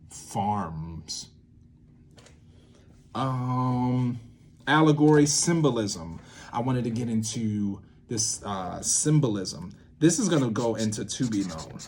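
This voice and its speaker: A man giving an informal creative lesson to camera, thinking aloud in an animated way with swings in emphasis. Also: on the low side, slight background noise. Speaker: creator and host teaching a creative method. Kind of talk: informal instructional monologue to camera.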